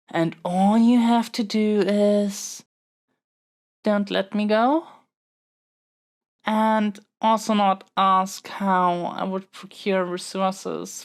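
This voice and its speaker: Audio roleplay role: captive princess, played by a woman, young, female, scheming and stubborn, mid-pitched, very unhurried theatrical delivery. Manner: theatrical, formal phrasing with halting pauses. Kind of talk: scripted solo audio roleplay.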